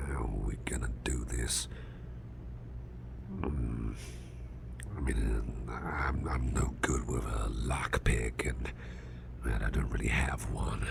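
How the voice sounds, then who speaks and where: A man playing a big, anxious but caring businessman boss in an ASMR roleplay, murmuring haltingly in a breathy, gravelly near-whisper. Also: rumbling low, steady tone, heavily acted. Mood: musing, worried. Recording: clearly noisy background hiss.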